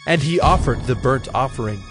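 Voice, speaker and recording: A male narrator reads scripture at a mid pitch, measured and solemn. Music plays clearly beneath his scripted narration. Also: expressive.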